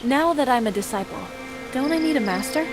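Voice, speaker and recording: A woman's voice: high voice, lively intonation, a little fast. A woman voicing a playful, encouraging young sidekick in a video game cutscene. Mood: curious. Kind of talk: animated game cutscene dialogue. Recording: loud music behind the voice.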